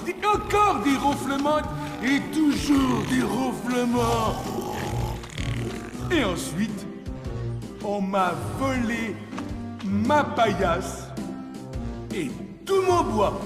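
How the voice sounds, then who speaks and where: A man's voice: very high voice, lively intonation, very slow, long pauses, echoey room, in a large hall, over music, heavily acted. A man playing an aggrieved storybook animal in a French children's cartoon, complaining in a dramatic, emphatic way.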